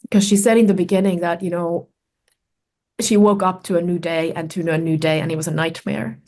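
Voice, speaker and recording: Low-voiced woman, thoughtful. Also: steady tone, darkish tone. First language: Finnish. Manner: thoughtful, searching for words with many pauses and fillers. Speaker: guest researcher and author. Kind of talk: reflective conversational storytelling.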